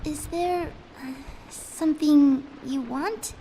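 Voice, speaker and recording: An actress playing a guarded, precocious young girl in a video game, polite but coolly deadpan. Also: sits high, slow pace, a little hiss or hum, poor audio. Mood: wary, cool.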